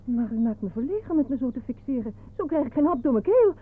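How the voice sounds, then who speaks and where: An actress playing a coy, gullible, fretful office spinster in a radio play, theatrical and easily flustered. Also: female voice, high voice, big pitch swings, fast talker, slight background noise. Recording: narrow, tinny old radio recording.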